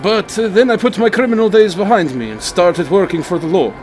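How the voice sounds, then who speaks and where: A man acting as an imposing ex-criminal priest in a radio drama, friendly, hesitant and gently teasing. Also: high-pitched, fairly quick, natural voice. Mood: genial, hesitant. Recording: clearly noisy ambient background.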